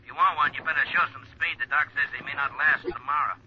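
An actor playing a terse rural American deputy speaking over a telephone line in an old radio drama. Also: male voice, fairly high pitch, fairly even intonation, fast talker, breathy edge. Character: terse rural deputy on a long-distance call. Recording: muffled old radio, phone-line sound.

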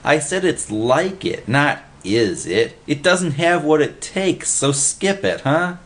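A man's voice: medium pitch, expressive, normal speed, voice pushed up, lightly acted. A man voicing a pompous, bossy know-it-all bum who lectures in wordy, pedantic language in a storybook reading. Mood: commanding.

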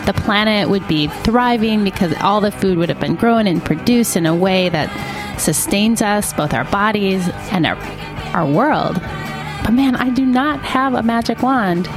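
A woman's voice: mid-range voice, lively intonation, measured pace. A woman giving an earnest, upbeat donation appeal for a nonprofit radio station. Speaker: station fundraising announcer. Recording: music bed under voice.

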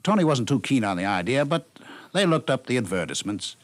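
A man's voice: mid-range voice, big pitch swings, brisk, dry recording. An American man narrating a scripted 1950s radio story in a wry, polished way.

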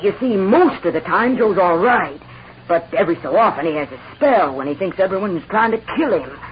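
Actress as a gruff, suspicious frontier woman. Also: medium pitch, expressive, fairly quick, natural voice. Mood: evasive. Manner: brusque, hard, suspicious. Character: heavily built, gruff, scheming female outlaw's wife. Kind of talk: 1950s radio western acting. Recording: dull old radio recording, faint noise.

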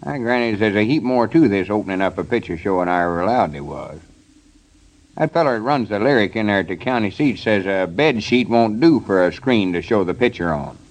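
A lowish old man's voice for 1930s radio comedy. He is a stubborn small-town storekeeper full of big plans, talking in a folksy, drawling rural Southern American way. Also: animated, fairly quick, poor audio. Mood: folksy, deliberate. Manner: folksy, drawling country talk. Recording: 1930s radio broadcast recording.

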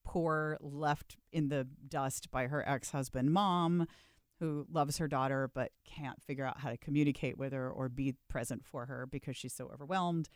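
A woman's voice: very deep voice, fairly even intonation, moderate pace, dry recording. A woman speaks as an actress in a casual interview, wry and emphatic. The recording is close and dry, with no room around her voice.

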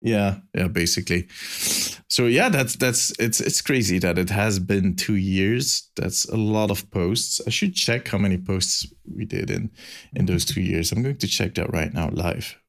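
A man's voice: low-pitched, measured pace, vocal fry. A man with a Belgian accent hosting a casual gaming podcast, rambling and laughing at himself. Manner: rambling, self-mocking, laughs often. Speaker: podcast host.

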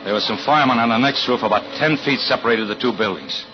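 An actor playing a troubled, desperate fire inspector and husband, narrating and speaking with brooding intensity in a 1940s radio thriller. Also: male voice, mid-range voice, quick pace, close to an everyday voice. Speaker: actor and first-person narrator. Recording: muffled old radio recording with music.

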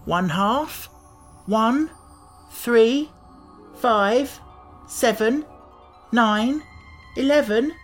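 A woman voicing a plucky, excitable young boy in a storybook, easily irritated and quick to exclaim. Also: medium pitch, soft background music, voice pushed up, lightly acted. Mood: counting carefully.

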